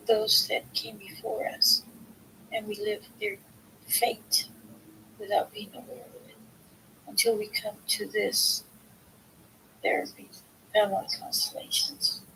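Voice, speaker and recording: A woman reading a book aloud informally, in a halting way, sometimes stumbling over words and correcting herself. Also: medium pitch, gravelly, bright, forceful tone, a little hiss or hum, poor audio. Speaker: reader of a book aloud, video host. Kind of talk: informal read-aloud of a self-help philosophy book.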